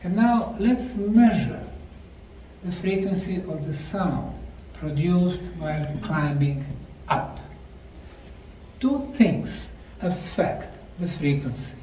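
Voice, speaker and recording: A man with a Bosnian accent giving a deliberate, emphatic lecture to a live audience. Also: high voice, lively intonation, warm tone. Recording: echoey room, mic far off, faint noise.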